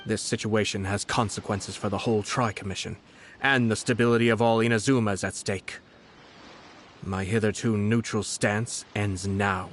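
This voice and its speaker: An actor playing a refined, calculating young nobleman commissioner in an anime-style game, speaking in a formal, measured, courtly way. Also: on the low side, a bit bright, a little hiss or hum, lightly acted.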